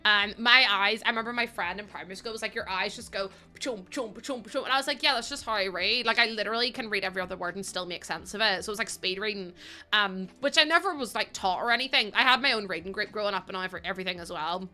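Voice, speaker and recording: A woman's voice: medium pitch, fast. A woman livestreaming, chatting casually with her viewers and laughing often. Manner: chatty, laughs often, greets chat warmly. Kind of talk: casual chat with stream viewers.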